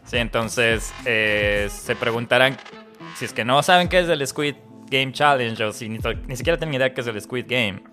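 A male podcast host speaks in a bright, forward voice at a middle pitch. He chats casually as he introduces guests, with music underneath. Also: moderate pace.

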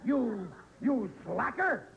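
A man's voice: very high-pitched, sing-song, extremely slow, creaky, narrow, tinny audio, heavily acted. An actor playing a blustery, stubborn American working-class family man in an old-time radio sitcom, indignant and ungrammatical. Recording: noisy vintage radio recording.

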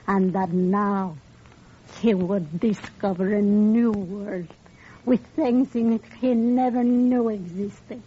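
An actress playing a wise, humble, warm-hearted immigrant woman in a 1940s radio drama, speaking in a measured, heartfelt way. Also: a little high. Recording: muffled, faintly noisy old radio recording.

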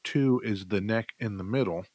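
A man's voice: fairly low pitch, normal speed, over loud music. A man co-hosting a casual gear chat, explaining things informally with some light joking. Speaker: podcast co-host reviewing guitar gear.

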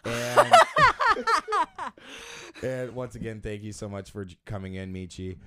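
A man's voice: on the low side, huge pitch swings, slow, bright, forceful tone. A man hosting a casual podcast interview, joking and rambling with his guest in a self-deprecating way. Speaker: podcast host.

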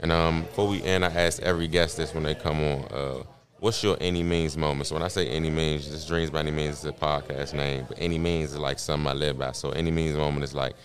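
A man's voice: a bass voice, fairly even intonation, fast. A man of about thirty hosting a podcast, chatting casually and often affirming his guest with short replies. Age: 30, about to turn 31. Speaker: podcast host. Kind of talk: casual interview chat.

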